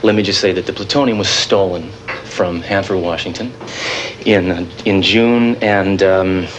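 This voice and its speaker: Man acting a nervous defiant terrorist bomb guy, lowish voice, rambles and stalls, noisy old tv feed. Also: fairly even intonation, natural voice. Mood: nervous, halting. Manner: halting, rambling, stop-start explaining. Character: nervous but defiant male bomb-builder terrorist with technical know-how. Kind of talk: naturalistic TV drama acting. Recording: noisy 1980s TV live-feed audio.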